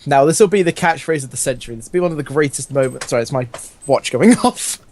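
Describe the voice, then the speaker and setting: A man's voice: medium pitch, expressive, fast, darkish tone, no echo. A man reading a comic story aloud as host, with casual banter and dramatic emphasis. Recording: faintly noisy home gaming recording.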